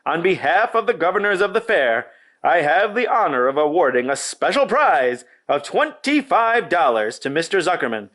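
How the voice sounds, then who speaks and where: A man voicing a formal, orotund male announcer speaking over a county fair loudspeaker in a storybook reading. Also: a little high, pitched above the performer's own voice.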